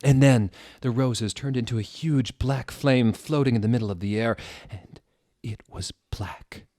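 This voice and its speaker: A man's voice: mid-range voice, a little slow, dark tone, no echo, clean studio sound. A man doing a mock-dramatic comedic reading of fan fiction, theatrical and sardonic, breaking off for asides. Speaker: reader.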